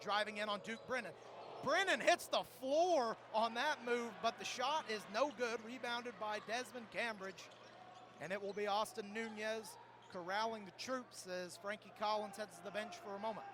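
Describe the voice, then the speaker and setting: Guy doing live sports radio play by play, really high voice, noisy arena behind him.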